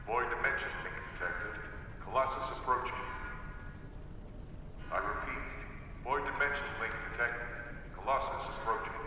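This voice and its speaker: A man's voice: mid-range voice, normal speed, pauses often. An actor voicing an impersonal alarm system in a video game, a male announcer over a public address. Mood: urgent, impersonal. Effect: public address reverb. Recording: dull, very echoey hall, far, noisy.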